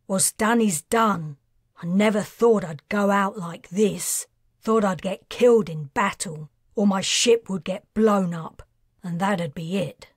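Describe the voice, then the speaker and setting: A woman's voice: mid-range voice, slow pace, slightly rough, no echo, studio quality. A woman voicing a blunt, fatalistic male mercenary in an audiobook. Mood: resigned. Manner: blunt, resigned.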